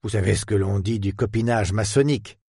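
A man's voice: on the low side, steady tone, brisk, mellow, breathy edge, no echo, clean studio sound. A man voicing a theatrical, effusive elderly male historian who speaks with old-fashioned courtesy. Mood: jovial.

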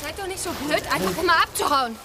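A woman's voice: very high-pitched, fast talker, gravelly, over music. An actress playing a bossy, protective female aid worker in a radio drama, brusque and impatient in colloquial German. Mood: exasperated, urgent.

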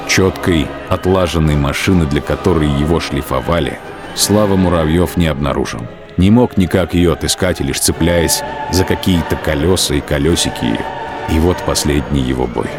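A man giving a measured, dramatic literary narration of a war story for an audio drama. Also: rumbling low, music in the background.

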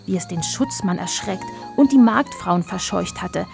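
A woman's voice: mid-range voice, lively intonation, normal speed, gravelly, music in the background. A woman narrator reading scripted storybook narration for a radio play.

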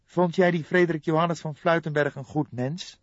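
A man voicing a detective's older wife, gently probing with questions, in an audiobook. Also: on the high side, fairly even intonation, moderate pace, voice pushed up, lightly acted. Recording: boxy, echoey, dull recording.

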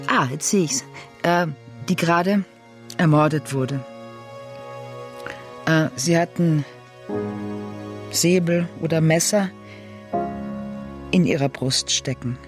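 Casting: woman, unscripted and colloquial, feeling her way through a description, clear music underneath. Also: lowish voice. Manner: hesitant, tentative, colloquial. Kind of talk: spontaneous, halting description of a picture.

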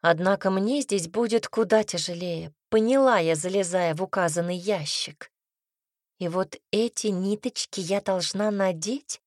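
A woman narrating a first-person story as an audiobook, reading in an even, expressive way. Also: mid-range voice, a little slow, no echo, clean studio sound.

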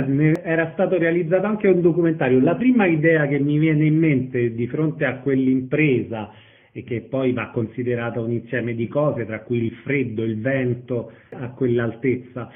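A man, interviewing a guest in a conversational style on the radio. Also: fairly high pitch. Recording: thin, band-limited phone-like radio sound.

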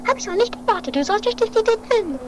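A woman playing an earnest, theatrical lady tightrope walker in an improvised comic radio play, squeaky and affectedly polite. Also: lively intonation, rapid-fire. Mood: polite, anxious. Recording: noisy home-made 1980s radio play recording.

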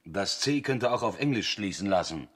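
A man's voice: fairly low pitch, animated, moderate pace, a bit bright. A man playing a sober, disapproving crew member in a radio drama, with a dry, objecting manner. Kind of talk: German radio play acting.

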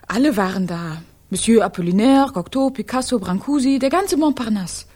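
A woman's voice: on the high side, animated, moderate pace, a little mellow, lightly acted. An actress playing a pert, knowing woman of a Parisian household in a German radio drama. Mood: knowing, gossipy.

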